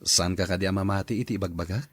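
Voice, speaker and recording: An actor playing a friendly, loyal, adoring young husband in an audio drama, with an Ilocano Filipino accent. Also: male voice, low-pitched, fairly even intonation, rapid-fire, no room sound.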